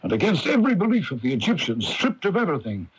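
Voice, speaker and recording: An actor playing a stubborn, impatient archaeologist husband in a radio drama, with a husky voice. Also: male voice, a little high, big pitch swings, quick pace, lo-fi sound. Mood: fervent, driven. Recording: hissy early-1980s AM radio broadcast.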